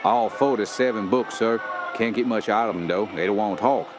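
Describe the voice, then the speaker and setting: An actor playing an uneasy, plain-spoken police subordinate in a radio horror drama, terse and folksy. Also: male voice, lowish voice, fairly quick, close to an everyday voice. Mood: uneasy, businesslike. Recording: music and outdoor effects behind.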